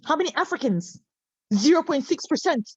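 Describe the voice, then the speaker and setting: A woman from Cameroon speaks with a fairly high voice, heard through dull, lo-fi video call audio. She talks with passion, stumbling over fillers and restarts and pressing her listeners with rhetorical questions. The sound is dry and close. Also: lively intonation, moderate pace, dry recording. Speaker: panel speaker.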